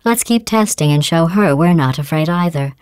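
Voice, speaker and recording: A low, smooth female machine intelligence that sneers through politeness. The voice is cool and condescending, recorded dry and close for a game. Each barb comes out level and unhurried. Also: no room sound. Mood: deadpan mocking. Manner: dry, condescending insults delivered calmly. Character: cold sarcastic female AI overseer. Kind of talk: video game voice clips.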